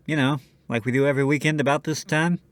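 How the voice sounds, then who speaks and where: A man's voice: medium pitch, steady tone, quick pace, no room sound. A man hosting a radio show in scripted comedic banter, playing the polite, slightly hesitant straight man.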